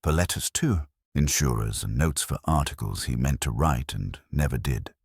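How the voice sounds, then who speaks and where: A man's voice: extremely low pitch, lively intonation, normal speed, vocal fry, slightly rough, no echo, studio quality, exaggerated voice. A man voicing a tidy, unsentimental widow in a detective story, reserved and clipped in her manner. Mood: cool.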